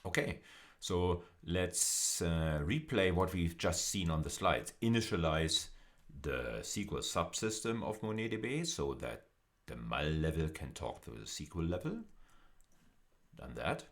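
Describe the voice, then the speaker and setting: A man giving an explanatory video lecture as a university lecturer, speaking in a halting, deliberate way with emphatic stresses. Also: deep voice, sing-song.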